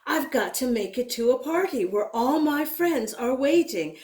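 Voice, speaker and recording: Slow-paced woman reading a children's story. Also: high voice, reverberant. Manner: expressive, addresses young listeners directly. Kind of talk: read-aloud picture book storytelling with asides to children.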